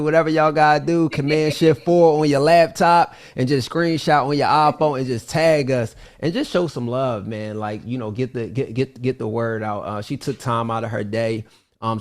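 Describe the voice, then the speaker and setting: A man hosting a live online class, thanking a guest and rallying the audience with loose, rambling hype. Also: medium pitch. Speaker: host.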